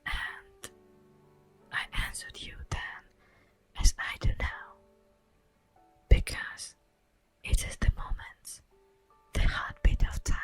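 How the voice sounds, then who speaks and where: A woman voicing an ancient, tender and wistful elf in a breathy whispered ASMR fantasy roleplay, speaking solemnly and poetically with long pauses. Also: fairly bright tone. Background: music.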